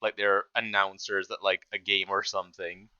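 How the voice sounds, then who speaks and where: A man giving casual reaction commentary in a halting way, often repeating himself and trailing off. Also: deep voice, level tone, sharp tone, lo-fi sound, slight background noise.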